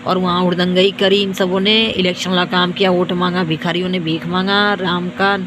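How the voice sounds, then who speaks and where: A hoarse, tired young woman from India, talking to her viewers in a repetitive, boastful and scolding way. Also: fairly low pitch, little pitch movement, moderate pace, noisy background. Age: young adult. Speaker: vlogger. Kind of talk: self-filmed rant to viewers.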